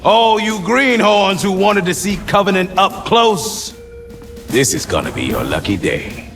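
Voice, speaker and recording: A man's voice: high voice, big pitch swings, unhurried, fairly bright tone, full character voice. A man acting a gung-ho, bellicose Marine sergeant in a video game, barking with swagger at his troops. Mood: fierce, bellicose. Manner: barking, swaggering drill-sergeant delivery with emphatic punches. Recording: game cinematic with music behind. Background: music.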